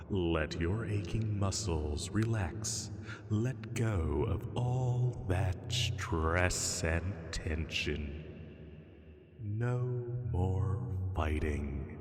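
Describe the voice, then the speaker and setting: A huge ancient dragon, acted by a man, speaks low and extremely slowly, with long reverb around every phrase. The manner is lordly yet hushed and protective, made to soothe in a sleep-aid roleplay. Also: heavily acted. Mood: soothing. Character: huge ancient male dragon, calm, protective, gently possessive.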